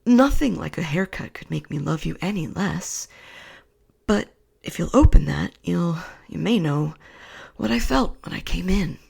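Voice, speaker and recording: A woman voicing an earnest young husband in a hushed whisper, speaking slowly. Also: fairly low pitch, expressive, creaky, husky, exaggerated voice. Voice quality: soft whisper.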